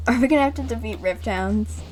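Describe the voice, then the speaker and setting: A woman's voice: a little high, moderate pace, slight background noise. A woman streamer giving casual, reactive and chatty commentary as she plays a game. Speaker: gaming streamer.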